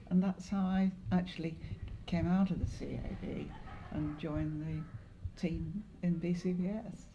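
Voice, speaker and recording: An older English woman in her seventies or beyond, reminiscing in an interview in a measured, halting way with pauses. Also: lowish voice, warm tone, highs cut off, a little hiss or hum. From Lancashire, northwest England. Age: 70s or older. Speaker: interviewee, longtime community volunteer. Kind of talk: oral history interview, reminiscing.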